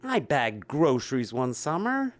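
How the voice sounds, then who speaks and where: An actor playing a defensive, wistful middle-aged retired stage magician and husband in an audio drama, speaking haltingly. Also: male voice, medium pitch, huge pitch swings.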